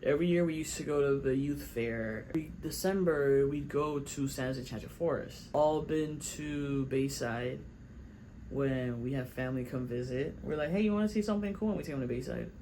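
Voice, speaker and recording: A man's voice: medium pitch, normal speed, dark tone, slight background noise, low-quality recording. A young man, a rapper from South Florida talking casually and at length in his recording studio, rambling and repeating himself. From Miami, South Florida. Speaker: rapper and vlogger. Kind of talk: casual studio vlog talk.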